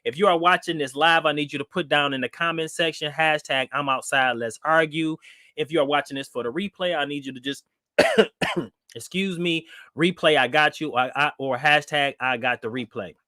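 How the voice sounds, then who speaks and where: A man's voice: fairly high pitch, normal speed, dry recording, studio quality. Congested man hosting a live stream. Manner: relaxed, with frequent fillers and restarts. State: nasal from a cold. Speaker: host of a live online discussion show. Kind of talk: casual live-stream talk.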